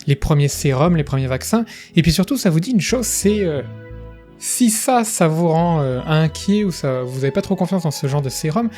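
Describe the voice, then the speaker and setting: A man's voice: on the high side, lively intonation, normal speed, dark tone, soft background music. A man hosting an educational video, explaining history in a casual, chatty way with frequent asides.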